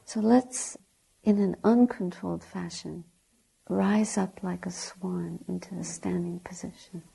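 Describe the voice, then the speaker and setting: A woman teaching guided meditation in a soft, breathy, gentle way, speaking in short deliberate phrases with long pauses. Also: on the low side, fairly even intonation, low-quality recording. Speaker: Buddhist nun, meditation teacher.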